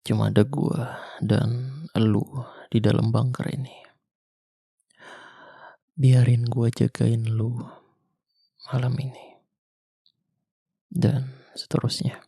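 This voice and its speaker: A young man doing a soft, intimate, teasing ASMR boyfriend roleplay. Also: medium pitch, flat delivery, slow, vocal fry, pauses often, breathy edge, dry recording, clean studio sound.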